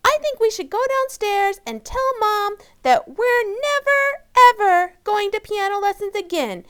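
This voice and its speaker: A tiny, furious storybook creature, shrill and very high. The voice yells impulsively, in full tantrum, with big swoops. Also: female voice, full character voice. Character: Amy, furious screaming amygdala, tantrum-throwing little brain creature.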